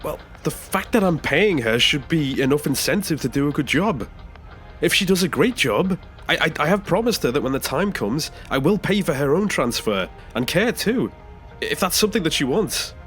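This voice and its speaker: Man playing nervous male passenger, audio drama, hesitant, earnest, very animated intonation, faint music behind. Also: fairly high pitch, normal speed. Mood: nervous, defensive. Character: nervous ageing male passenger seeking a new body. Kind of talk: naturalistic audio drama acting.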